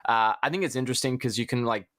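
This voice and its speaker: A man's voice: medium pitch, fast, fairly bright tone, no echo, clean studio sound. A young man, a guest player, chatting casually about a match over a video call with lots of fillers. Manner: laid-back, many fillers.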